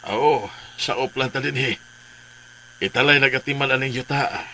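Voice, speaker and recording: Bright-voiced stubborn farmer father. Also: medium pitch, moderate pace, a little hiss or hum. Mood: easygoing. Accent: Filipino, Cebuano-speaking. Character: stubborn older tenant farmer father, proud of his trees. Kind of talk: radio drama acting.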